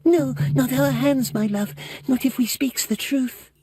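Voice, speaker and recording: An actor playing a small, wretched, fearful creature with a raspy, throaty voice, wheedling and talking of itself as "we" in broken grammar in a video game. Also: high voice, a little slow, darkish tone. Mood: fearful, pleading. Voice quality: raspy, throaty, whispery at times. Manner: speaks of himself as "we" and in third person, broken grammar. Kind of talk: video game character acting.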